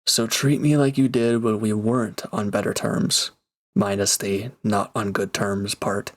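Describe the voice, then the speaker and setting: A man acting in an intimate audio drama as a gruff but caring reformed villain, earnest, halting and awkwardly affectionate. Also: on the low side, flat delivery. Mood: defensive, reassuring.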